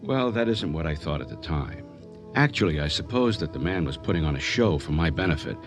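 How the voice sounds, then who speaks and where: An actor playing a composed male psychiatrist who narrates in a measured, clinical manner for a radio drama. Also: extremely low pitch, sing-song, music in the background, full character voice. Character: composed male psychiatrist recounting a strange case.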